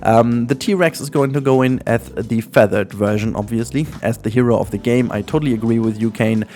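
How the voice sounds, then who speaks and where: A man's voice: mid-range voice, brisk, a little mellow. German-accented man rambling over gameplay. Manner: chatty, rambling, lots of ums and uhs. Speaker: gaming channel host. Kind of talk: casual gameplay commentary. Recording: faint music behind.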